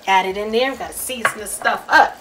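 A woman's voice: mid-range voice, brisk, pushed and bright, slight background noise. A woman narrating a recipe casually as a cooking host, chatty and playful, talking straight to viewers.

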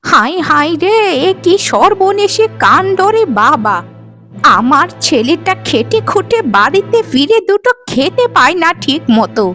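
Woman acting a high-pitched, spiteful mother-in-law. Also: big pitch swings, a little slow, sharp tone, full character voice. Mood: exasperated wailing. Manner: sarcastic, nagging, exclamatory. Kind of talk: cartoon voice acting. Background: music.

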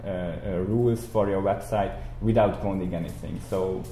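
A young man giving an explanatory software tutorial, haltingly, repeating and rephrasing himself as he goes. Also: sits low. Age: young adult. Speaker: tutorial instructor. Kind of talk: explanatory software screencast lecture. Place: indoor room, speaking beside a screen recording. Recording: roomy echo, audible background noise.

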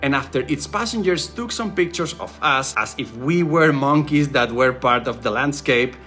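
A man narrating a vlog in a wistful, gently joking voice-over, a native Spanish speaker. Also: medium pitch, normal speed, faint music behind.

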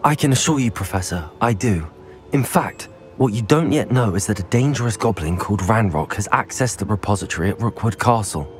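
A man's voice: lowish voice, lively intonation, a little fast, faint music behind, close to an everyday voice. An actor playing an earnest young wizard student who reports urgent news to his elders.

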